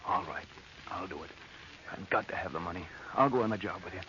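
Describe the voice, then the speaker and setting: An actor playing a desperate, guilt-ridden amateur safecracker, hesitant and nervous, in an old radio horror drama. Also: male voice, low-pitched, fast, lightly acted. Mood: reluctant, resigned. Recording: muffled, very noisy old radio recording.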